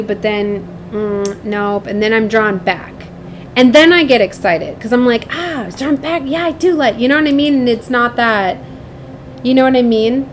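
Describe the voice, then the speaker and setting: A woman's voice: on the high side, background noise. A woman giving a casual, rambling spiritual tarot reading, informal and chatty, often trailing off and checking in with the listener. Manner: frequently seeks agreement with tag questions. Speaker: tarot reader and spiritual channel host.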